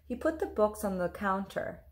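A woman in her thirties reading a picture book aloud, expressive, deliberate and dramatic, like a teacher. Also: mid-range voice. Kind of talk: expressive storybook read-aloud. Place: small room at home. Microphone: camera or phone at close range.

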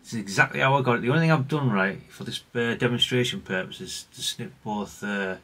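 A man's voice: medium pitch, fairly quick, bright, forceful tone. A middle-aged British man giving a casual, unscripted hands-on demonstration as a hobbyist repairer, rambling, self-deprecating and mildly sweary. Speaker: hobbyist radio repair presenter.

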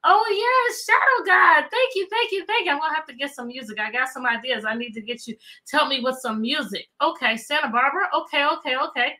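A middle-aged American woman hosting a chatty, interactive livestream for children, animated and repeating phrases and questions to draw listeners in. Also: sits high, a little fast. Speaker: teacher, children's read-aloud host. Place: indoor room with bookshelves.

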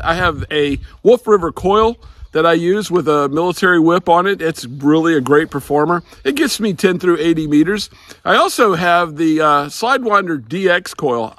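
Casting: older male hobby vlogger, slightly high voice, casual explainer, halting and rambling, recorded outdoors. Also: a bit bright, dry recording. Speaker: ham radio vlogger. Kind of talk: casual explanatory talk to camera. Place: outdoors in a grassy backyard.

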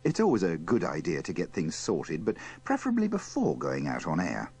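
A man's voice: deep voice, huge pitch swings, normal speed, a little mellow, slightly rough. A British man hosting a comic compilation, reading scripted links in a dry, wry manner. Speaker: host of a radio bloopers compilation.

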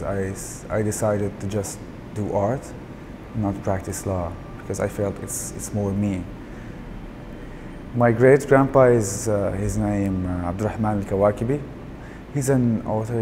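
A man in his thirties from Syria, with a Syrian Arabic accent, speaking in a soft-spoken, reflective documentary interview. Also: lowish voice, steady tone, normal speed, noisy background. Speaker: artist. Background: background music.

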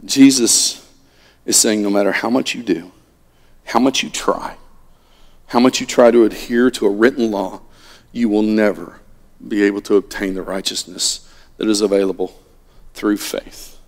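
A middle-aged man preaching a sermon from a pulpit, swinging between loud animated lines and hushed ones. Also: lowish voice, moderate pace, pauses often. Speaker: pastor. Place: church sanctuary at a pulpit. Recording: long reverb of a church hall.

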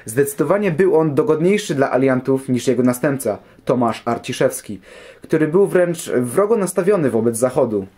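A young man with a soft, mellow voice delivers prepared historical commentary. His tone is rounded and relaxed, and he speaks in full, formal sentences as a programme guest. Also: mid-range voice, moderate pace, breathy edge.

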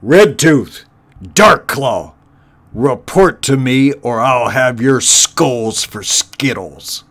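A man's voice: mid-range voice, expressive, unhurried, natural voice. A man voicing a brutal, contemptuous one-eyed rat warlord from a storybook, bellowing and snarling his commands. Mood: furious bellowing. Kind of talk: storybook character voice.

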